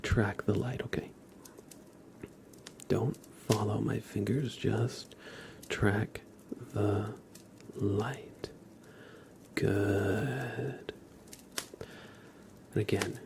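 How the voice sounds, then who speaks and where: A man speaks slowly and softly in ASMR style, often slipping into a breathy whisper. He gives gentle instructions in a playfully deadpan way, his low voice edged with a little fry. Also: background noise. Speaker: ASMR live streamer. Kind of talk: soft-spoken ASMR roleplay of a medical exam.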